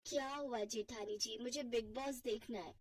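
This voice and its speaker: A woman's voice: fairly high pitch, normal speed, far from the mic, background noise, natural voice. A woman voicing a lazy, pampered, cheeky young wife, whining and defiant, in a family cartoon. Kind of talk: Hindi family cartoon voice acting.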